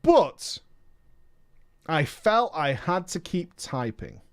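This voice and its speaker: Male streamer, story reader with commentary; bouncy, animated delivery, mocking side remarks. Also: on the high side, slow pace. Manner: emphatic, mocking asides. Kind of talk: reading a viewer's story aloud with commentary.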